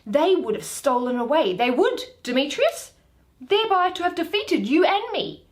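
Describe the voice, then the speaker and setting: A woman's voice: high-pitched, big pitch swings, moderate pace, voice pushed up. A woman reading Shakespeare, voicing an indignant, controlling older father who declaims and insists.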